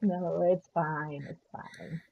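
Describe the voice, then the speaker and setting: A woman's voice: low-pitched, steady tone, slow pace, vocal fry, highs cut off, heavy background noise. A woman guest with a stuffed-up nose, chatting casually and easily as a travel expert. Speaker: guest Disney hotel expert. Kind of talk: casual chat.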